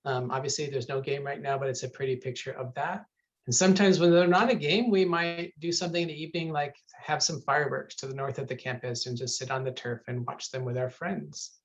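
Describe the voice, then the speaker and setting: A man's voice: mid-range voice, fairly quick, muffled recording. A man presenting a university webinar over a video call, chatty and upbeat with some fillers.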